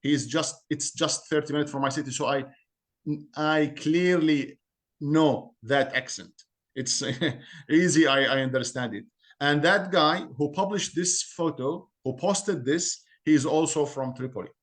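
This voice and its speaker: A man from Syria with an Arabic accent, a community guest speaking earnestly in halting English and repeating words as he searches for them. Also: on the high side, a bit bright. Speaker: guest, Assyrian community member. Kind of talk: interview on a call-in podcast.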